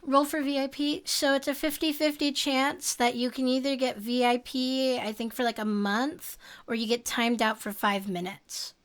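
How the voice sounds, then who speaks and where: A woman's voice: high-pitched, little pitch movement, moderate pace. A young woman streamer chatting casually with her viewers and giggling often. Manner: giggly, chatty, reads chat aloud.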